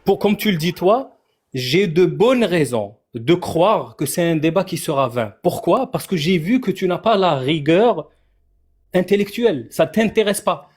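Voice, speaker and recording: Casting note: man, a bit higher voice, defensive debater dodging the topic in voice chat. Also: lively intonation, measured pace, a little mellow, husky. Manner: defensive, deflecting, repeats "attends" and "tu vois". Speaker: debate guest. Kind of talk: heated online debate.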